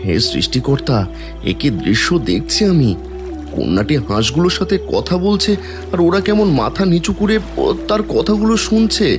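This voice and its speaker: A man acts a simple villager in a fairy-tale cartoon, full of wonder. His voice is on the high side and rises and falls in amazement, with music behind it. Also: sing-song, normal speed. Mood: amazed. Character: awestruck, simple, good-hearted village man.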